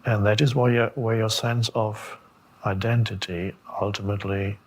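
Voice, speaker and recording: Male spiritual teacher for a meditation talk: somewhat deep, breathy-rough tone, reflective delivery, lingering pauses, gentle questioning. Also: level tone. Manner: contemplative, halting with long pauses, gently questioning.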